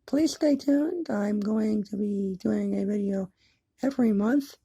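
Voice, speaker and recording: A woman with a low-lit, mellow voice and a lot of fry in it talks casually to her viewers. She is plain-spoken and halting, often circling back over what she said. The sound is close and dry. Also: no echo. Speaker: hobbyist video maker. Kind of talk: casual chat to camera. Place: indoors in an apartment, by a window.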